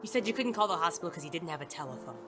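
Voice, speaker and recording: A hard-boiled detective of noir audio drama, acted by a man, pitched between low male and female range. He is blunt and wary yet lovestruck, speaking intimately over faint music. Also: animated, very fast, natural voice. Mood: accusing, angry. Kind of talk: noir audio drama with first-person narration.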